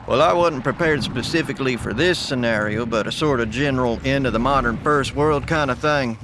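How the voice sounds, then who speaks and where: A dry, folksy survivalist man voiced by an actor, wounded but laconic and practical. His mid-pitched voice sits in clearly noisy vehicle and outdoor ambience, as in an audio drama. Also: brisk, close to an everyday voice. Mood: matter-of-fact. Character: gruff, laconic male survivalist metal worker, wounded.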